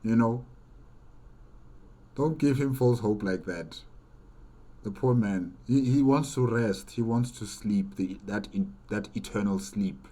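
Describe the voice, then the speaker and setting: A man hosting a reaction video, commenting casually and haltingly, repeating phrases and asking rhetorical questions. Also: fairly low pitch, mellow.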